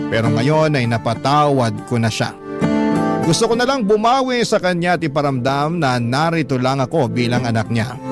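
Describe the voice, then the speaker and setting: A man with a Filipino accent, a radio host reading listeners' letters as earnest, sentimental, dramatic narration. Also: mid-range voice. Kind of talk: dramatic scripted narration of love-story letters. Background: music bed.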